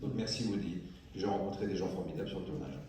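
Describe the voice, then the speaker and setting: A male actor talking quickly and keenly on stage, his voice low and mellow. Heavy hall echo and distance surround him, with faint ambience. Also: steady tone, very noisy. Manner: quick, enthusiastic. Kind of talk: speaking on stage. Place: large cinema hall. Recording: echoey hall, far from mic.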